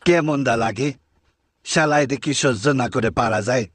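A man's voice: mid-range voice, moderate pace, husky, no echo, studio quality, soft background music, natural voice. A man playing a long-suffering village vendor in a cartoon, placating and exasperated. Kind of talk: comic cartoon acting in Bengali.

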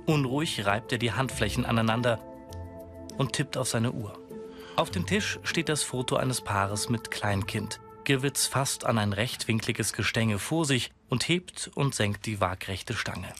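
A man's voice: medium pitch, expressive, a little slow, music in the background. A man reading scripted audio-description narration in a factual manner. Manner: factual, present-tense scene description.

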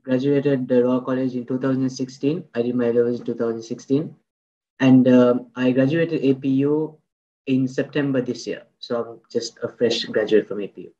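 A man in his twenties, a recent graduate, briefly introducing himself on a video call with halting fillers. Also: mid-range voice, steady tone, warm tone. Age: 20s. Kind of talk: self-introduction. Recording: dull video call audio.

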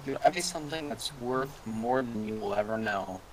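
Man, joking gamer, mid-pitched voice, mutters and whispers to himself, faint hiss behind. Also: normal speed, rough recording. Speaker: gaming video co-host. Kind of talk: casual gaming chat.

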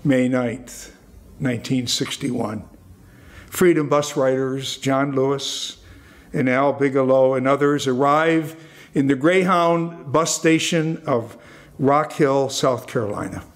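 An older American man preaching a sermon as a pastor, with deliberate, emphatic phrasing and pauses. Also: mid-range voice. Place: indoors at a church lectern.

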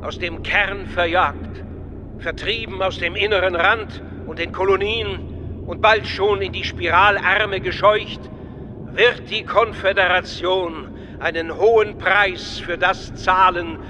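An actor playing a solemn, grandiloquent statesman giving a drawn-out political speech in a radio drama. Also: high-pitched, steady tone, background noise. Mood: solemn oratory. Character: solemn male statesman addressing a senate, grandiloquent.

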